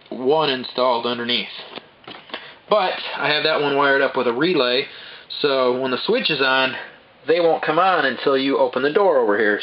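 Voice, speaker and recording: A man walks through the switches and lights he installed inside his bus, in a plain, practical, step-by-step way. He is recorded on a handheld camera mic in the cabin. The sound is a bit dull, and a faint hiss sits underneath. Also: mid-range voice. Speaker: bus owner showing his build. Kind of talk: casual show-and-tell walkthrough. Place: inside a parked bus at dusk. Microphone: handheld camera mic, filming himself off screen.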